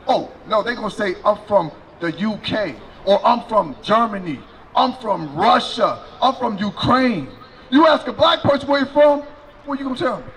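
A middle-aged man preaching loudly on a city sidewalk outdoors into a handheld mic, questioning people as they pass. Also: high-pitched, lively intonation, moderate pace, a little hiss or hum. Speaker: street preacher.

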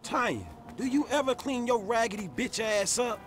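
A man's voice: extremely high pitch, expressive, normal speed, faint music behind. An actor playing a blunt, exasperated, streetwise young man in a video game cutscene, talking in profane slang.

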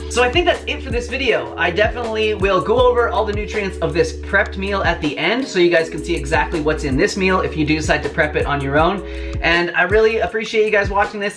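An upbeat young man chats straight to the camera as he cooks, addressing viewers casually and warmly. He sounds like he is in a small home kitchen. Music plays clearly underneath his voice. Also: sits high. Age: young adult. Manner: upbeat, chatty, addresses viewers as "you guys". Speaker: cooking channel host. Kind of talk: casual cooking vlog to camera.

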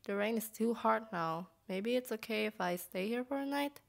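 A woman voicing gentle, caring, shyly romantic young characters in a comic dub, earnest and halting. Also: a little high, vocal fry. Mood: earnest, polite.

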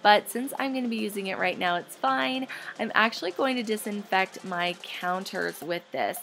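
A woman's voice: medium pitch, normal speed, creaky, a little hiss or hum. A woman in her thirties giving a chatty, bubbly voice-over for a home cleaning tutorial, with light laughs. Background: soft background music.

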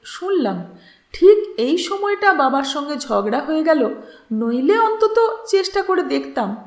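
A woman's voice: high-pitched, big pitch swings, a little slow, a little mellow, roomy sound, exaggerated voice. A woman storyteller voicing a stubborn, earnest and wounded daughter in an emphatic, pleading manner. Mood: troubled.

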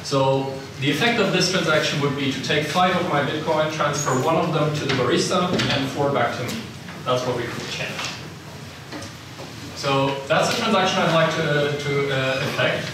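A young man with a Swiss accent gives a relaxed, self-deprecating seminar talk, scattered with ums and uhs. He is picked up from a distance by a room camera. The lecture room rings with heavy echo, and a steady background noise runs under his voice. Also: a little high, moderate pace. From Italian-speaking Switzerland. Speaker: seminar speaker. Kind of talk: technical lecture to a live audience. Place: lecture room with a projector screen. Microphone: far room camera, no visible mic.

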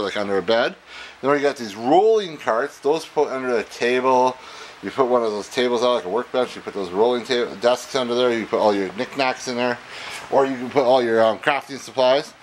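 A man rambles excitedly through an auction walkthrough, his voice at an ordinary middle pitch. He talks from a warehouse on a camera mic, with a faint hum of the room behind him. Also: rough recording. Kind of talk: casual walkthrough of auction lots.

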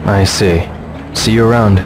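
An actor playing an earnest, polite teenage martial artist in a video game, with a stiff, reserved manner. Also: male voice, lowish voice, fairly even intonation, unhurried, noisy background, natural voice. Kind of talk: English video game voice acting.

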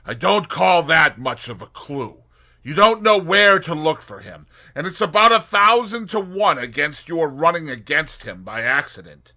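A man reading as a cheerful young man who teases with mock pomposity. His voice rises and falls in lively swoops. It sounds bright and forward, as in an audiobook. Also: on the high side, moderate pace, voice pushed up, heavily acted. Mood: teasing. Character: cheerful teasing young man, dry wit.

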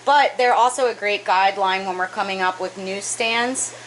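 A woman's voice: mid-range voice, normal speed, slight background noise, low-quality recording. A woman in her thirties casually explaining a project step by step in her basement fish room, with a few fillers. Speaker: aquarium hobbyist vlogger.

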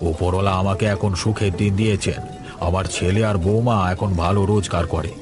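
Man playing a low-voiced elderly cartoon grandfather. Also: steady tone, brisk, music in the background, natural voice. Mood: doting, content. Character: elderly poor father and doting, carefree grandfather. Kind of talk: cartoon story voice acting.

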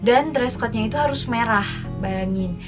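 A woman's voice: on the high side, normal speed. A woman in her twenties from Indonesia, chatting casually to camera in a lifestyle vlog from her bedroom, mixing in English phrases. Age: 20s. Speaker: lifestyle vlogger. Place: bedroom at home. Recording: music playing behind.

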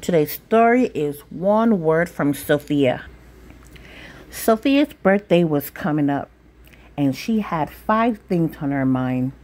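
A woman reading a children's picture book aloud in a deliberate, expressive storytelling manner. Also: sits low. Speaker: storytime reader.

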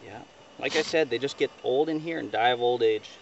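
A man's voice: medium pitch, brisk, vocal fry, lo-fi sound. Chatty young man on a lake. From northern Ontario. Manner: chatty, upbeat, fillers like right and you know. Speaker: fishing guide showing a catch. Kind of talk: casual outdoor chat to camera. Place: small boat on a lake outdoors. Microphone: camera held by a companion a few feet away. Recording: distant outdoor camera audio with noise.

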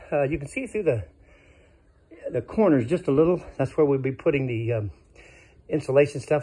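A man's voice: fairly high pitch, gravelly, warm tone, breathy edge. Rambling older man, muffled folksy voice. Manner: rambling, folksy, with many fillers. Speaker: vlogger showing his cabin. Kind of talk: casual walkthrough chat. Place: outdoors in woods.

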